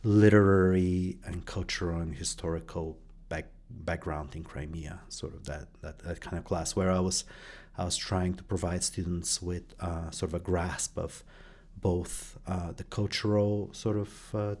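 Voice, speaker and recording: A middle-aged man from Bulgaria answering interview questions about his work, pausing often with fillers and restarts. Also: deep voice, fairly even intonation, darkish tone. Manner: hesitant, many fillers and restarts. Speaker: guest, journalist and professor.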